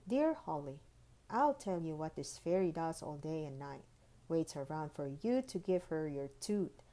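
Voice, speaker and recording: Storybook tooth fairy, female, voiced by a woman reader: bossy, impatient, formal, with a soft-edged mellow tone. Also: low-pitched, expressive, measured pace. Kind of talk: children's storybook read-aloud.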